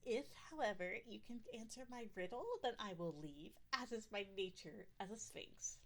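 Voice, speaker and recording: A woman's voice: mid-range voice, moderate pace, lightly acted. A woman voicing a giant, shallow female sphinx, a haughty and theatrical villain in a fantasy audio roleplay.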